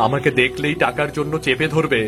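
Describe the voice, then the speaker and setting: A man's voice: on the high side, steady tone, brisk, over music, close to an everyday voice. A man playing a hard-up, worried village farmer in a cartoon, grumbling and dismissive as he talks. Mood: worried, irritable. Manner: grumbling, dismissive toward his wife. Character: worried, brusque village husband and son, hard-up small farmer. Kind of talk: dramatised cartoon dialogue.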